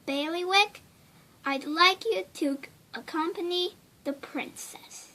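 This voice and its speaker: A woman's voice: extremely high pitch, slow pace, sharp tone, pitched above the performer's own voice, full character voice. A woman playing a worried, protective king and father in a storybook, anxious and insistent.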